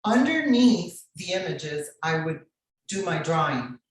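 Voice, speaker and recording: A woman from Miami recounts her path as an artist in an interview. She speaks slowly and deliberately. Her voice comes from some distance, wrapped in strong room echo. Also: on the low side, big pitch swings, a little hiss or hum. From Miami, Florida. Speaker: guest artist. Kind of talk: personal interview narrative. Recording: very echoey room, distant from microphone.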